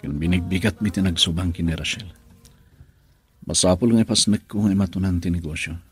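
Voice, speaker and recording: A man's voice: low voice, a little fast, slightly rough, soft background music. A man playing a troubled, dutiful husband, brooding and earnest, in a Filipino radio drama in Ilocano. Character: troubled husband, dutiful son-in-law running the family business.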